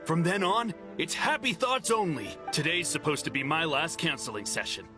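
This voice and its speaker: A man's voice: a little high, expressive, moderate pace, close to an everyday voice. A man playing a haughty, insistent follower of a spiritual guru in a video game. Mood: zealous. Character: snooty, devoted male client of a spiritual guru. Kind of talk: video game character acting. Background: game music.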